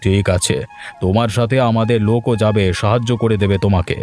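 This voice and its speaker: A man voicing a kindly village headman in a cartoon, calm, fatherly and reassuring. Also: fairly low pitch, a little fast, soft background music, lightly acted. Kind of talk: cartoon voice acting.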